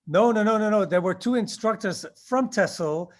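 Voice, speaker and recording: A man in his sixties recalls decades-old events as an interview guest. His voice sits a little high, and he pauses and restarts often as he searches his memory. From Indonesia. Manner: reminiscing, halting with many fillers. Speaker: guest, skydiving instructor. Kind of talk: interview answers.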